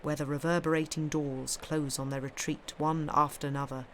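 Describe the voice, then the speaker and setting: A woman's voice: deep voice, level tone, normal speed, a bit bright. Woman live-stream host, noisy recording. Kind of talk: expressive literary reading aloud; casual live-stream chat.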